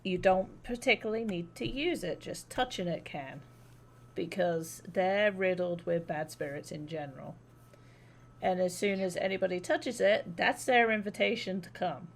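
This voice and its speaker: A woman chats casually on a game livestream. Her voice sits a little low, and she rambles informally with some swearing. A faint rumble of noise runs underneath. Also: normal speed, gravelly. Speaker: live streamer.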